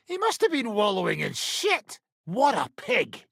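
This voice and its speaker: Raspy, boisterous British mercenary. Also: male voice, high voice, huge pitch swings, unhurried, fairly bright tone, dry recording, clean studio sound, heavily acted. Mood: crude irritation. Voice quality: rough, raspy, gravelly voice. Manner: coarse, brash, swearing banter. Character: foul-mouthed, boozy, boisterous male medieval mercenary fighter. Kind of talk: video game character voicelines.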